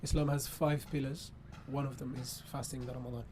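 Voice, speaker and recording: A man's voice: mid-range voice, little pitch movement, fairly quick. Young man in a noisy interview. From Ethiopia. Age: young adult. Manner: hesitant, careful with wording. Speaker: interview guest, international student. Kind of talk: conversational interview answers. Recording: clearly noisy background ambience.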